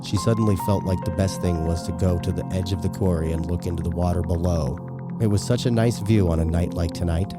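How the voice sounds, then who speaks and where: A man with a deep voice narrates a scripted horror tale for an audio drama. Loud music plays behind his reading throughout. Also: steady tone, fairly quick. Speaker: podcast producer and drama narrator.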